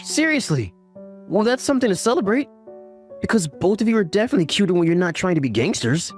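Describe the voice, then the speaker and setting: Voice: medium pitch, sing-song, fast, lightly acted. A performer voicing a caring, teasing young uncle in a romantic comedy manga story, mixing narration with dialogue in a mild manner. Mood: gentle teasing. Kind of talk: anime-style recap narration and dialogue. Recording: music under the voice. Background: background music.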